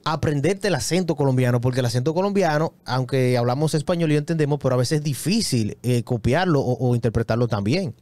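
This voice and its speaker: A man in his thirties co-hosting a casual radio interview, lively and joking, often repeating words, on a studio boom mic. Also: fast talker, hardly any pauses, dry recording. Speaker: radio show co-host. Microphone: studio mic on boom arm.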